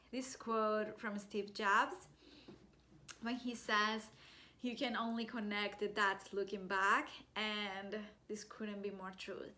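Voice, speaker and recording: A woman's voice: fairly high pitch, bright, forceful tone. A young woman with a Colombian Spanish accent, a native Spanish speaker, telling her own business story in a chatty, upbeat way straight to her viewers. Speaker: digital marketing business owner. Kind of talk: personal story vlog to camera.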